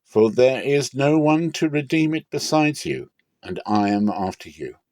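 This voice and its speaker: A man acts out an authoritative landowner of biblical times, earnest and formal. He declaims emphatically, pitched up as if addressing elders, in a dry storybook recording. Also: lively intonation, a little slow, a little mellow, no room sound, close to an everyday voice. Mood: formal, pressing.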